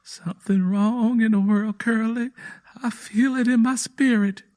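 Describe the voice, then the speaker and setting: A man's voice: high voice, fairly even intonation, unhurried, a little mellow, voice pushed up. A man voicing an earnest, anxious, devout young wife with an American Southern accent and a pleading rural way of talking, in a narrated story. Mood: uneasy.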